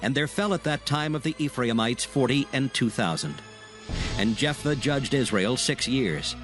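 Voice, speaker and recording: A man narrating dramatized scripture in a solemn, formal, measured storytelling manner. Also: mid-range voice, animated, over music.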